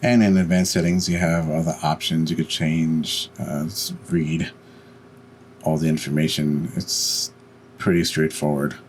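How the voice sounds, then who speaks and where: A man hosting a step-by-step software tutorial with plain, unhurried instruction. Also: a bass voice, flat delivery, slight background noise. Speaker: tutorial host. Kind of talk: step-by-step software screencast narration.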